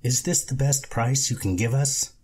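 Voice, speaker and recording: Man acting an older storybook wife, stern, doubtful, questioning, raised husky voice. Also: medium pitch, moderate pace, a little mellow, voice pushed up, natural voice. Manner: serious, questioning.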